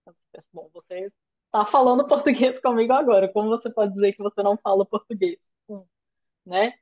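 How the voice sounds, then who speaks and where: A woman's voice: medium pitch, brisk. Brazilian woman lecturing over a muffled call. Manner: thoughtful, explanatory, frequent "né?" tags. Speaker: course lecturer. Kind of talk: online lecture discussion.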